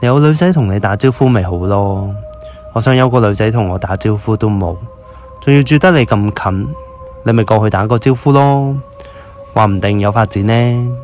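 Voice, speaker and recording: A man's voice: lowish voice, normal speed, darkish tone, soft background music, lightly acted. A man playing an exasperated, teasing friend in a radio drama, talking in a colloquial, chiding way. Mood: teasing exasperation.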